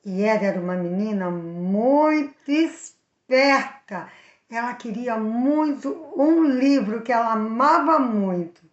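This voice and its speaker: A woman's voice: fairly high pitch, slow, reverberant, muffled recording. Brazilian woman telling a children's story. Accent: Brazilian Portuguese. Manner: animated, addresses the young viewers directly.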